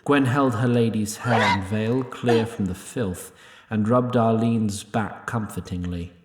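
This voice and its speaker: A man reading scripted story narration in a measured, solemn manner. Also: fairly low pitch, echoey room, noisy background.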